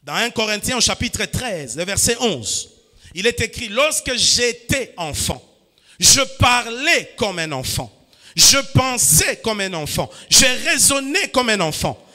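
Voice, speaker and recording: A high-pitched, bright-voiced pastor from DR Congo preaches with fervor, drops to soft asides and then rises to ringing shouts that pull his listeners into call and response. His voice rings out through a church hall with a long reverb tail. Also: big pitch swings, unhurried. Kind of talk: sermon.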